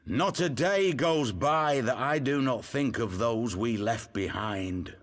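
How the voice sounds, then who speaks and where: A fervent rebel leader addressing his exiled people in a fantasy game. The medium-pitched voice rises and falls widely. His oratory is slow, rhetorical and emphatic, built to rally. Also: lively intonation. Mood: grave, ashamed. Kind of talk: theatrical video game oratory.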